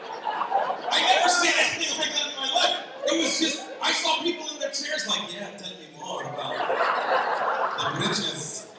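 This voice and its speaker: A Palestinian man doing a lively stand-up set, teasing the crowd and jumping up in pitch when excited. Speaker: stand-up comedian. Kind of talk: stand-up comedy set at a gala. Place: large banquet hall. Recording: far-off mic in an echoey banquet hall with noise.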